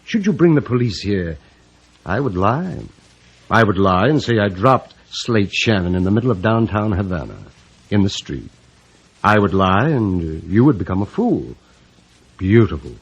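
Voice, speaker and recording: An actor playing a smooth-mannered, menacing henchman who speaks formally and deliberately, in old radio drama style on a dull vintage recording. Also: sits low, very animated, full character voice. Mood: coolly threatening. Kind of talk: 1940s-50s American radio drama acting.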